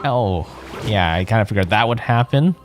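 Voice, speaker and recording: A man's voice: fairly low pitch, expressive, normal speed, over loud music. A man streaming a let's play, musing to himself in casual commentary. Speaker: game streamer.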